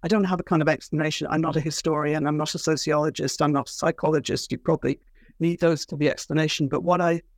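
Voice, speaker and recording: A woman's voice: deep voice, fairly even intonation, quick pace, no echo, studio quality. A woman, an author being interviewed, who answers thoughtfully and hesitantly with many fillers and restarts. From Britain. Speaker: author and guest.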